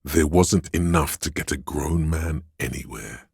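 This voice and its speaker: A hedonistic voice of craving from a video game, extremely deep and smooth. He narrates and coaxes straight at the listener, sly and seductive, lingering on words. The sound is close and dry. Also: unhurried, a little mellow, dry recording, heavily acted. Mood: sly, savoring. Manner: smooth, insinuating, savoring words. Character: seductive, hedonistic male inner voice of addiction and craving. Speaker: video game character voice actor. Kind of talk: dramatic game narration, second-person coaxing.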